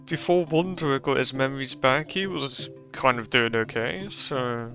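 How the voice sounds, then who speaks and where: A woman's voice: rumbling low, measured pace, fairly bright tone. A woman giving casual commentary as a game streamer. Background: game music.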